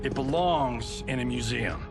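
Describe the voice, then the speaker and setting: A man's voice: mid-range voice, steady tone, measured pace, vocal fry, loud music behind the voice. An actor playing a vain, wisecracking middle-aged movie star past his prime, in a cinematic video game style. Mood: cocky, combative. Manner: flippant, showy, wisecracking.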